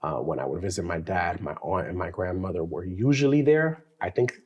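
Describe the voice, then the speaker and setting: Low-voiced man telling his story. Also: fairly quick. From New York. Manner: conversational, animated, slangy. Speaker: podcast co-host. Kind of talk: casual testimony chat.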